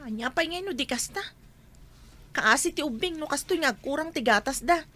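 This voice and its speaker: A woman's voice: high voice, lively intonation, moderate pace, bright, forceful tone. A woman acting a struggling young mother in a radio drama, plaintive and complaining as she pleads. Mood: upset, pleading.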